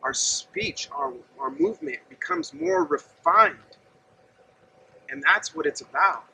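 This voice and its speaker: A man in his thirties preaches in an earnest, conversational way. His voice sits very high and is heavily gravelly, with a bright, tense edge and lively swings in pitch. He stands outdoors some distance from the camera, with a faint open-air hum behind him. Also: slow pace. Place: outdoors by a gravel lot and fence.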